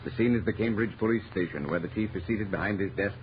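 A man announcing a radio drama in formal, measured 1930s scripted narration. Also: lowish voice. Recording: noisy, dull 1930s radio recording.

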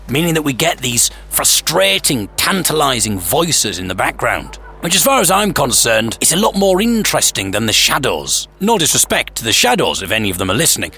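A man hosting a podcast, narrating a scripted story with theatrical suspense, pauses and wry humour. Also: medium pitch, pushed and bright, a little hiss or hum. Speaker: podcast host. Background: music.

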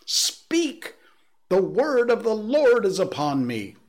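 A man voicing a frantic, half-mad curate who rants and wails about judgment, with a husky voice. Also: medium pitch, unhurried, fairly bright tone, pitched above the performer's own voice, exaggerated voice. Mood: raving. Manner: ranting, wailing, pleading. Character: frantic male curate preaching doom.

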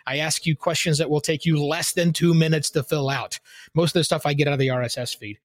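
A man's voice: a little high, steady tone, fast talker, dry recording, clean studio sound. A man talking as a podcast guest, explaining things in an enthusiastic, casual way. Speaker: podcast guest, newsletter curator. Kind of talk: casual interview talk.

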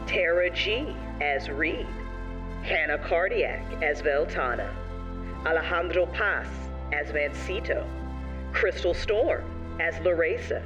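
A man reading scripted closing credits as an announcer. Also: a little high. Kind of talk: scripted narration. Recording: dull, distant sound under loud music. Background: music.

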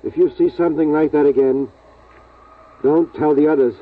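An actor playing a steady, protective middle-aged father in a 1950s radio drama, speaking in a grave, measured way. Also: a little high, close to an everyday voice. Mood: steady, reassuring. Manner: grave, measured, deliberate storyteller. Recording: muffled, tinny 1950s radio recording.

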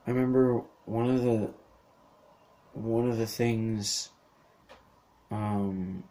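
Guy in his 30s rambling slowly on his own podcast, lots of ums and swearing. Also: fairly low pitch, steady tone, dark tone, poor audio. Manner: meandering, swears casually, many fillers and restarts. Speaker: podcast host. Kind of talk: rambling solo monologue.

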